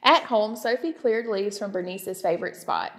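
A woman narrating a children's storybook aloud, expressive and clearly phrased. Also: mid-range voice, moderate pace, big-room sound. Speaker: storybook reader.

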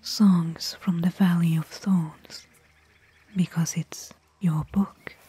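A woman playing a gentle, bookish desert explorer and caring companion in a soft, whispered ASMR roleplay. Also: fairly low pitch, fairly even intonation, a little hiss or hum. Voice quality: breathy, whispered. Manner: slow, gentle, pausing between short phrases.

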